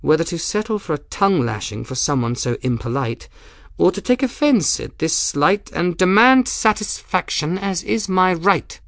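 Male narrator as haughty young lady, storybook aristocrat, arch, cold precision, higher-pitched. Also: sing-song, normal speed, fairly bright tone, no room sound, clean studio sound. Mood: indignant. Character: haughty, easily offended young noblewoman.

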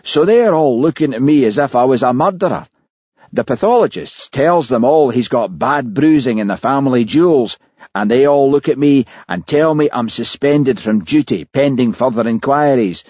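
A man's voice: medium pitch, lively intonation, moderate pace, a little mellow, no echo, pitched above the performer's own voice, natural voice. A man voicing a crude, blustering policeman. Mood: indignant. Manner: blustering, then meek. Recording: dull lo-fi, dry.

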